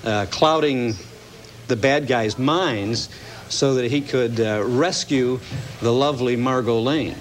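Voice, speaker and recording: An American man giving a casual, halting, explanatory movie review as a guest on a morning TV show. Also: medium pitch. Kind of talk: casual interview commentary. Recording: slightly noisy TV broadcast recording.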